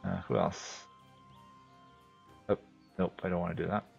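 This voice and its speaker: A man from New England with a New England accent, casually commenting on his strategy game as he plays and thinking out loud with many fillers. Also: deep voice, level tone, a little slow, creaky, lo-fi sound, soft background music. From New England, USA. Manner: thinks aloud, rambling with fillers. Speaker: game streamer. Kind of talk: casual commentary while playing.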